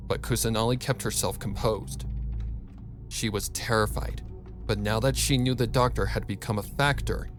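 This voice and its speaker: A man giving a dramatic scripted narration of a story, as a fan fiction reader. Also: fairly low pitch.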